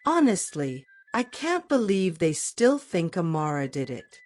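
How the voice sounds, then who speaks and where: A woman's voice: fairly low pitch, sing-song, a little slow, a little mellow. A woman plays a scheming teenage schoolgirl in a narrated story. The girl is smug, boastful and defiant, with swooping intonation over faint music.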